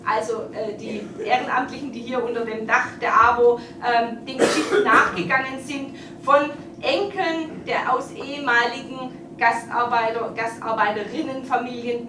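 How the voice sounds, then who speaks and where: Amtsträgerin bei der Eröffnung, hohe Stimme, sachlich und ernst, in halligem Saal. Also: female voice, a little high. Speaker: local official opening an exhibition. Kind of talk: formal welcome speech. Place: event hall. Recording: echoey hall, distant, noisy.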